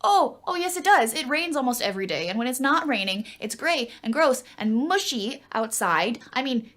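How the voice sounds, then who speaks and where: A woman's voice: sits high, lively intonation, normal speed, a bit bright. A young woman playing a contrary, sullen orphaned teenage girl in a web series, sarcastic and grumbling in a naturalistic way. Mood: grumbling, exasperated.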